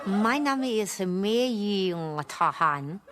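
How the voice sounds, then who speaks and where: A woman playing a Thai-born wife speaking slow, broken German with a Thai accent. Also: mid-range voice, animated, a bit bright. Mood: cheerful.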